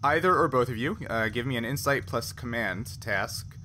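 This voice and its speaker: A male game master casually narrates and referees a tabletop session. His voice is bright and forward at a medium pitch, with clear noise behind it. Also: moderate pace.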